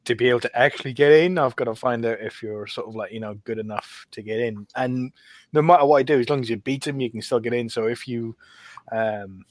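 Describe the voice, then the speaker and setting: Man, medium pitch, dry sound, casual podcast storyteller, rambling and halting. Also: no room sound. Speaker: podcast panelist. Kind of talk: casual chat, recounting gameplay.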